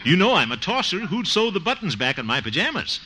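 A man's voice: high voice, very animated, fairly quick, sharp tone, heavily acted. A man playing a bumbling, good-hearted middle-aged fellow in a hammy, folksy 1950s radio comedy style. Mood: wry, fond. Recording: noisy old 1950s radio recording.

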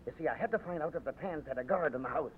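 An actor playing Frankie, a bold young Irish rebel on the run, with an Irish accent and a jaunty, brash way of talking, in a 1940s radio drama. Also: male voice, on the high side, very fast, close to an everyday voice. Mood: warm. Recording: tinny narrow old radio broadcast.

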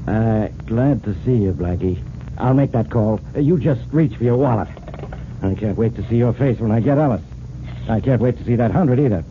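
An actor playing a stubborn, exasperated police detective in an old-time radio drama, grumbling and sure of himself. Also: male voice, mid-range voice, moderate pace, close to an everyday voice. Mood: smug. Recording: tinny narrow-band vintage radio recording, hiss.

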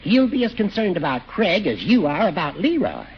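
An actor playing a fussy, insistent older judge in 1940s radio comedy, precise and admonishing. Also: male voice, high voice, wildly swooping pitch, normal speed, heavily acted. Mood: firm, scolding. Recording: muffled, hissy 1940s radio recording.

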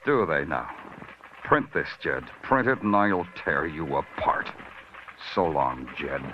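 An actor playing a hard-boiled, brooding American police detective in a 1950s radio crime drama, with clipped, world-weary delivery. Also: male voice, rumbling low, big pitch swings, slow, slight background noise, heavily acted. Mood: stern. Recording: dull vintage radio recording with music.

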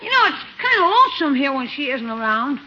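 A scheming young boy in a 1950s radio comedy. The voice is high and very lively, wheedling and slangy. It comes through a dull old broadcast recording. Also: fairly quick, slight background noise, full character voice. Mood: breezy.

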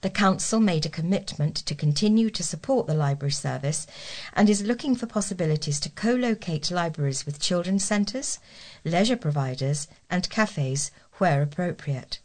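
A woman's voice: on the low side, darkish tone. A woman reading local news aloud as a volunteer newsreader, formally and with care.

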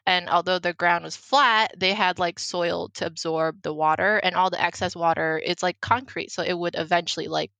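A woman's voice: lowish voice, fairly even intonation, moderate pace, creaky, few pauses, sharp tone, dry recording. A young woman whose first language is Mandarin, telling a story in casual chat, full of "like" fillers and acted-out quotes. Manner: chatty, lots of "like" fillers, acts out quotes.

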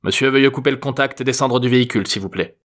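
A man's voice: medium pitch, brisk, fairly bright tone, lightly acted. A man voicing a jaded, suspicious policeman in an audiobook story, with a curt, weary manner. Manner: curt, would-be firm, weary.

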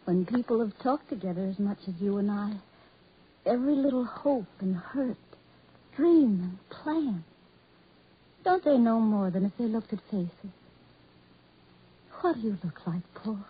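An actress playing a gentle, trusting young woman in a 1940s radio drama, with an earnest, theatrical delivery and breathy voice. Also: mid-range voice, animated, a little slow, long pauses. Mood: adoring, earnest. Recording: muffled 1940s radio recording.

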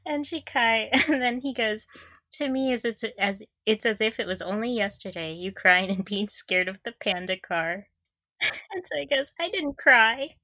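A woman's voice: fairly high pitch, a little slow, pushed and bright, no room sound, clean studio sound. A young woman co-hosting a podcast in casual fan chat, playful and gushing, often giggling through her words. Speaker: podcast co-host. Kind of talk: casual fan discussion of audio dramas.

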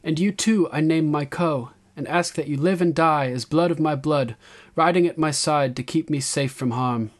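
Man acting as young warrior queen, regal, resolute, lifted pitch, solemn declamatory delivery, audiobook fantasy. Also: moderate pace, close to an everyday voice. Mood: commanding, ceremonial.

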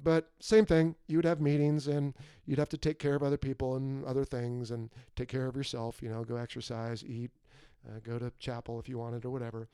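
Casting: middle-aged male podcast interviewer, conversational and casual, relaxed timbre, dry close sound. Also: medium pitch, fairly quick, smooth, no room sound. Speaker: podcast host.

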